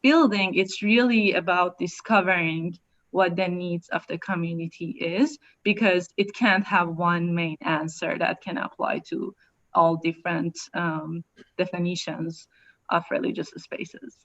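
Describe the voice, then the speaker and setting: A woman's voice: lowish voice, steady tone, measured pace, fairly bright tone, muffled recording. A woman from Iran, an architect speaking thoughtfully on an online panel, with some fillers.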